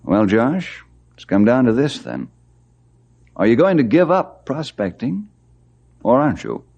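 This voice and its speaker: An actor playing a steady, firm head forest ranger in an old-time radio western. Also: male voice, medium pitch, measured pace, gravelly, breathy edge, muffled recording, full character voice. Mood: earnest. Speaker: ranger leader. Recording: old radio drama recording.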